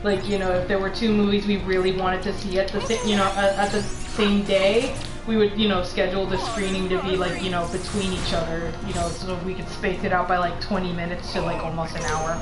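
Animated young woman chatting, roomy sound. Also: medium pitch, fairly quick, hardly any pauses. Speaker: co-host of a game stream. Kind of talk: casual chat over gameplay. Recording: roomy stream with game music behind. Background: video game music.

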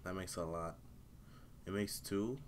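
Deep-voiced young man, stuffy with a cold. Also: steady tone, measured pace, a little hiss or hum. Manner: laid-back, mutters to himself, swears often. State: congested with a cold and sore throat. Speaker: gaming streamer. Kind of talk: casual live gameplay commentary. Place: home room at a computer. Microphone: headset.

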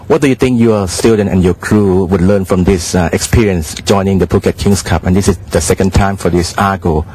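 Very deep-voiced hesitant male interviewer. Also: fairly even intonation, a little mellow, slightly rough, rough recording. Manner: halting, hesitant questioning. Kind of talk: interview questions. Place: outdoors at a regatta.

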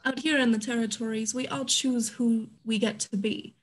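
A woman reading the part of a brisk, self-made frontier businesswoman in a staged play reading. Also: on the high side, steady tone, lightly acted, low-quality recording. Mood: assured. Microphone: video call.

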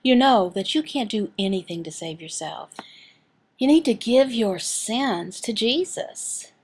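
A woman voicing a signed sermon as an interpreter, speaking deliberately, phrase by phrase with pauses. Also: mid-range voice, animated, darkish tone, husky. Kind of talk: sermon and prayer, voiced from sign language.

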